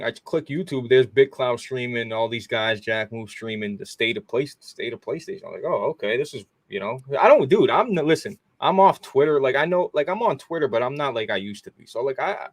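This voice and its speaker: A man's voice: medium pitch, no room sound. A young adult man hosting a casual gaming podcast, rambling and profane, stressing his points. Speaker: podcast host.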